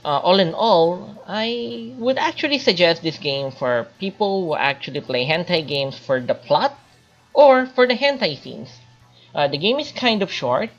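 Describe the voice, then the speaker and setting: A woman giving an informal spoken video game review as a channel host, haltingly, thinking aloud and repeating phrases. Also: deep voice. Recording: dull lo-fi mic, faint music behind.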